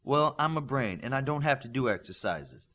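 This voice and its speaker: A clever teenage superhero girl from an audiobook, fussy and a little reluctant, as a male reader puts her on. The delivery has a lively, moving pitch. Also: moderate pace. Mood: self-assured, fussy.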